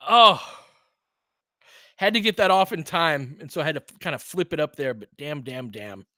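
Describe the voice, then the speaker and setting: A man doing live basketball play-by-play and analysis as a host, informal and openly partisan, excitable on big plays. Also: a little high, moderate pace, no echo, studio quality.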